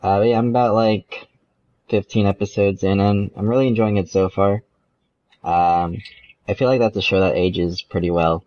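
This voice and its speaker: A man with a deep voice, recorded with a dull, lo-fi sound. He co-hosts a podcast in casual chat, thinking aloud and hesitating as he goes. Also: steady tone, moderate pace, no echo. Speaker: podcast co-host.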